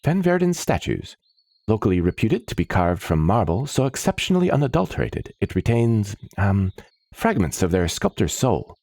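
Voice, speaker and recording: A man's voice: fairly low pitch, big pitch swings, moderate pace, creaky, darkish tone, dry recording, voice pushed up. A man voicing an eager, long-winded woman scientist in a fantasy story, with precise, pedantic wording. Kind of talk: fantasy audiobook character.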